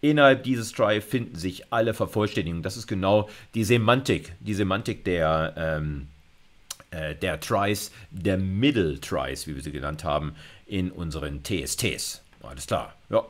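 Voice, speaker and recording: Low-voiced middle-aged man lecturing. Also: normal speed. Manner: step-by-step explaining, frequent check-in words and English technical terms. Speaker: university computer science lecturer. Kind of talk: explanatory lecture with informal asides. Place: indoors, recorded video lecture.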